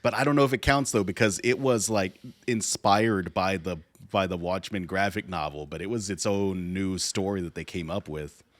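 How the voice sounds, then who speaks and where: Low-voiced man, close and dry. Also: dry recording. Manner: halting, searching for words, repeats himself. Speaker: podcast co-host. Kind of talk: casual podcast discussion about movies.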